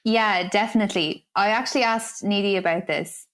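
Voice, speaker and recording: A woman's voice: medium pitch, moderate pace, fairly bright tone. A woman presenting a podcast in a conversational style, reporting and commenting as a co-host.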